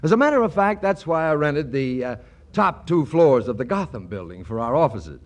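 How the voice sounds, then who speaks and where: An animated, fairly high-voiced young man in a forties radio comedy. He stammers when flustered, then turns grand and breezy, swinging widely in pitch. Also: moderate pace. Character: excitable young man frantically squandering a windfall. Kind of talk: 1940s radio comedy acting.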